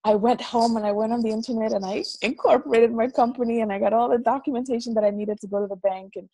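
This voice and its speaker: A woman from the Dominican Republic, a guest on a video call interview, telling stories in a lively, animated way and often repeating words. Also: on the high side, quick pace, low-quality recording. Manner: lively, animated storyteller who repeats words as she talks. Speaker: guest, business coach. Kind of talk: casual interview storytelling. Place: dorm room.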